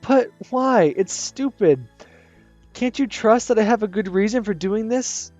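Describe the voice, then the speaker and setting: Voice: medium pitch, expressive, measured pace, a little mellow, no echo, lo-fi sound, soft background music, pitched above the performer's own voice, close to an everyday voice. A gamer reading a visual novel aloud, voicing a shy teenage schoolgirl who pleads with a wavering voice. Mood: distraught.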